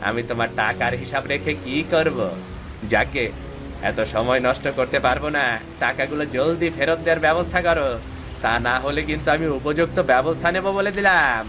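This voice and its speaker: A man's voice: a little high, brisk, close to an everyday voice. Man acting a greedy, gloating cartoon moneylender. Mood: mocking, threatening. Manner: gloating, bullying, mocking. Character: greedy, scheming, gloating adult male moneylender and hoarder. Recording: music behind the voice.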